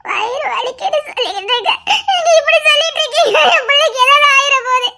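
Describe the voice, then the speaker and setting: A woman plays a pregnant wife in agony in a melodrama, her voice extremely high and whimpering. She pleads tearfully through the pain, over clear background noise and clicks. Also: fast. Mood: tearful pleading. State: crying in pain. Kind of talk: emotional melodrama dialogue.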